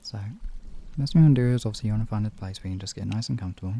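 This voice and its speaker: Deep, creaky, mellow-voiced man. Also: racing. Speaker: streamer, guided relaxation host. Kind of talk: casual chat moving into guided relaxation and hypnosis instruction.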